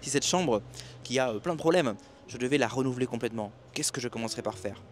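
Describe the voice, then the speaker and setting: A man's voice: medium pitch, fast, slight background noise. A man giving a rambling motivational monologue to his viewers at night by the sea, with many restarts and fillers. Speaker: self-help and expat business YouTuber.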